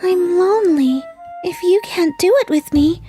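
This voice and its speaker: A woman voicing a shy, very high-voiced lonely young wife. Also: measured pace. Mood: pleading. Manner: soft, pleading, hesitant. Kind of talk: anime-style manga dub acting. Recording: faint background music.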